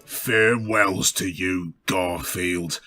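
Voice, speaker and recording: A man's voice: low voice, steady tone, slow, pushed and bright, soft background music, exaggerated voice. A man as a languid, devoted melodramatic woman. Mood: resigned, devoted. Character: breathless, devoted, fatalistic woman lover.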